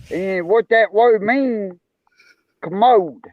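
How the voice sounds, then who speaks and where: An older man at home joining a casual stream chat, folksy and hesitant, full of pauses. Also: sits high, lively intonation, a little mellow, no room sound. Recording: tinny phone-like line.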